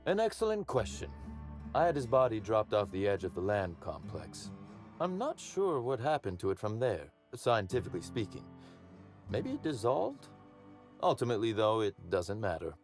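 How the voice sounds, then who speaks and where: An actor playing a suave, amused and menacing crime boss in a video game, with a wry, theatrical, deliberate manner. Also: male voice, fairly low pitch, wildly swooping pitch, faint music behind. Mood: gleefully menacing.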